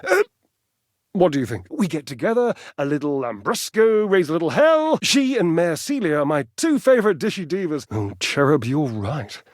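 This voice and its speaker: A flamboyant male fitness fanatic from a video game, vain and grandly melodramatic. His voice sits a little high and leaps about with great animation. He teases affectionately, lavishing pet names and relishing every florid phrase. The sound is close and dry. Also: big pitch swings, moderate pace, no echo. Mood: gossipy, amused. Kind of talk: theatrical video game character acting.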